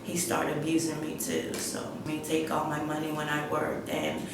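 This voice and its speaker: Low, even-voiced woman in an echoey room. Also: moderate pace. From Guyana. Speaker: interviewee telling her life story. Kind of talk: personal testimony, unscripted storytelling. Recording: echoey room, distant mic, rumbling noise.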